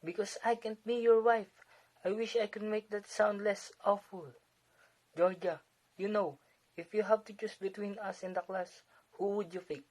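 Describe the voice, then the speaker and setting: A man's voice: high-pitched, fairly even intonation, moderate pace, darkish tone, poor audio. A man playing a weary, discontented husband in an amateur one-act play, frustrated and resigned. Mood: resigned, sad.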